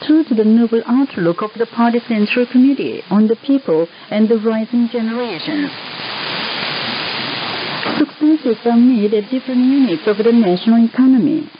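A woman from North Korea reading formal scripted news in a measured, declamatory way over shortwave radio. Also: a little high, expressive. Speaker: newsreader. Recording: hissy, muffled shortwave radio reception.

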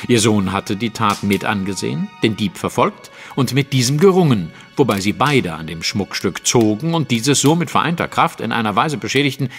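An actor playing a confident, analytical detective in a German audio drama, setting out a case in articulate, precise, dramatic storytelling. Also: male voice, on the low side. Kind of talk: German audio drama acting, narrative monologue.

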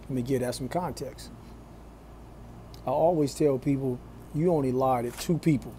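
A man in his forties talking to his phone camera in a small room, slow and deliberate, with a preachy tone. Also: medium pitch, dark tone, slight background noise. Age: 40s. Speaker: vlogger. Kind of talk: casual talk to camera.

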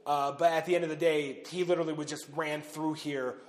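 A man in his thirties speaks casually to camera while standing in a huge underground missile silo. His voice sits a little high for a man, and he talks loosely, leaning on fillers. The long concrete reverb trails after every phrase. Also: level tone, fairly quick. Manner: conversational, loose, with frequent "uh" and "pretty much". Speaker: bunker owner and host.

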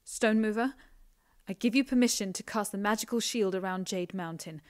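A woman acts out a shy young dragoness in a fantasy audio drama. She has a medium-pitched voice and speaks in an anxious, hesitant, faltering way. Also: moderate pace, lightly acted. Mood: nervous, hesitant. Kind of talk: audio drama character acting.

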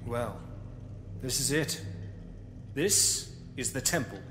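A man's voice: medium pitch, expressive, slow pace, background noise. A man playing a deferential, nervous military commander in an audio drama, with a hesitant, stammering manner. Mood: deferential, reporting. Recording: echoey cavern reverb, music behind.

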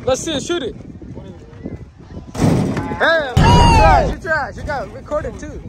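Young dude teasing his buddies, real high voice all over the place, loud outdoor noise. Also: wildly swooping pitch, slow pace, over loud music. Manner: teasing, playful. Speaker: friend. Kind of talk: joking banter outdoors.

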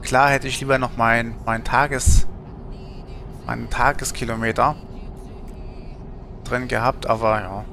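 Male streamer, thirties, forceful voice with plenty of fry, rambling and colloquial game chat. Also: mid-range voice, fairly even intonation, measured pace, long pauses, a little hiss or hum. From Germany. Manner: rambling, colloquial, thinking aloud. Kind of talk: casual livestream chat while gaming.

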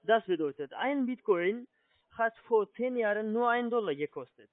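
High-voiced foreign-accented man on muffled phone. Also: animated, dry recording. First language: not German. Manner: halting explanations, often checking with "ja?". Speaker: telephone sales agent, scammer. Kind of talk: phone sales pitch. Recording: muffled low-fidelity phone line.

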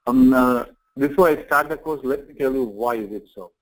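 A man's voice: mid-range voice, moderate pace, rough recording. A man teaching an online class informally, explaining things step by step with repetition and check-in questions. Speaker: online course instructor.

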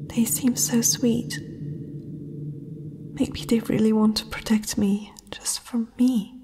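A woman voicing a young princess, proud yet uneasy, in a hesitant breathy whisper for an ASMR fantasy roleplay. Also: fairly high pitch, level tone, warm tone. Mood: wistful, yielding. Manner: hesitant, slow, intimate.

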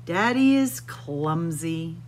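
A middle-aged woman reading a picture book aloud to young children in a deliberate, expressive, sing-song way, recorded indoors. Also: lowish voice, slight background noise. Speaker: children's library storyteller. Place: indoors in a library room with bookshelves.

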